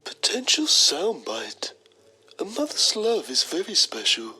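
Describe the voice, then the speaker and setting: A woman's voice: lowish voice, huge pitch swings, a little slow, pushed and bright, slightly rough, soft background music, heavily acted, low-quality recording. A woman voicing a pompous, lecherous male journalist who narrates a mock documentary in a game with self-important drama. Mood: smug, leering.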